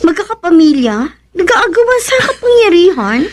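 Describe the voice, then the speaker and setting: An actress playing a flirtatious, affectionate female companion in a radio drama, with coy, drawn-out delivery. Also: extremely high pitch, sing-song. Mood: pensive. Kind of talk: Philippine radio drama acting.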